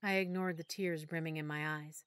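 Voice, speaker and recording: A woman narrating a first-person audiobook in a soft, emotional storytelling manner. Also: low voice, fairly even intonation, moderate pace, dry recording.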